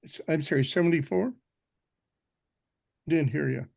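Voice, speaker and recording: 70s guy hosting a panel, gravelly voice, real slow, lots of stops and restarts. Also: a little high, darkish tone. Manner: slow and halting, with restarts and fillers. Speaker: forum host. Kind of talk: moderating a video panel.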